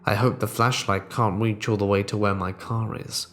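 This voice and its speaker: A man reading true horror stories aloud as the host, in a measured, deliberate storytelling manner that drops quieter at tense moments. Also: on the low side, steady tone. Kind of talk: scripted narration of reader-submitted true horror stories.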